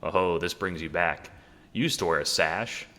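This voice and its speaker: A man's voice: deep voice, normal speed, sharp tone, big-room sound. A man narrating a scripted audio drama as a wry, theatrical storyteller addressing the listener.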